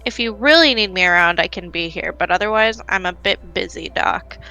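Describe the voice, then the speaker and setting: Woman playing a blunt alien guy, bright-voiced, music behind. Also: fairly low pitch, fairly quick, vocal fry, rough recording. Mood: irritated. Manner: blunt, casual, guarded. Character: gruff, busy, foul-mouthed male furry alien station worker. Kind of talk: visual novel character reading. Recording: music under the voice.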